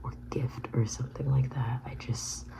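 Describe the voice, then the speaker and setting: A woman in her thirties whispering a personal vlog, halting and reflective. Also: a bass voice, steady tone, creaky, poor audio. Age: 30s. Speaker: vlogger.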